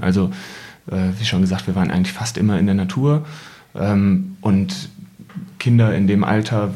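A young man with a fairly low voice talks in a casual storytelling way. He is thoughtful and hesitant, often searching for the right word as a podcast guest. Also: a little mellow, slightly rough.

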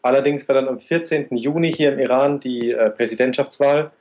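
A man in his twenties, an interview guest recounting his travels in a steady, detailed way. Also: medium pitch, a little fast. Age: 20s. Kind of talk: conversational interview answers.

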